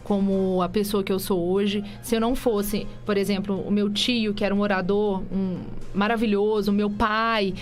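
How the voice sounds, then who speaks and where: A woman's voice: medium pitch, little pitch movement, moderate pace, few pauses. A woman in her thirties with a light Minas Gerais accent, chatting as a guest in a radio interview and telling her story with lots of restarts. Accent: light Minas Gerais (mineiro). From Minas Gerais, Brazil. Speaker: guest, TV journalist and presenter. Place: radio studio.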